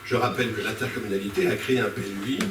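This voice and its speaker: A man's voice: fairly low pitch, steady tone, crowd noise. Echoey, distant man addressing a public meeting. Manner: halting, argumentative, often rephrasing mid-sentence. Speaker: speaker on a citizens' panel at a public local-politics debate. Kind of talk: impromptu political speech to a public meeting. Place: indoor public meeting room. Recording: echoey room, distant mic, clear background noise.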